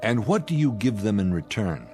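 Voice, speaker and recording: Low-voiced, sardonic spaceship commander. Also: male voice, big pitch swings, moderate pace, a little mellow, faint music behind. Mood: scornful, stern. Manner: commanding, scornful, plain-spoken. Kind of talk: radio drama acting.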